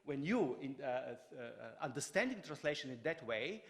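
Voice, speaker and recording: Halting man lecturing in a hall. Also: medium pitch, big pitch swings, fairly bright tone. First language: Croatian. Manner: halting, many fillers and restarts. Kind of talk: public lecture.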